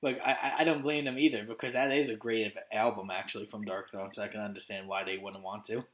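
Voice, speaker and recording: A man's voice: fairly low pitch, steady tone, fast talker, fairly bright tone, slight background noise. A man from Romania chatting casually as interviewer, stammering and hesitant with lots of fillers. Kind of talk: casual chat.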